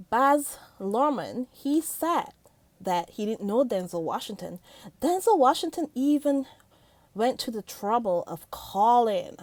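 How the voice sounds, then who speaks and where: A middle-aged woman from Barbados, chatting excitedly in a movie review vlog, laughing often. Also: mid-range voice, dry recording. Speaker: vlogger. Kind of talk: casual movie review chat.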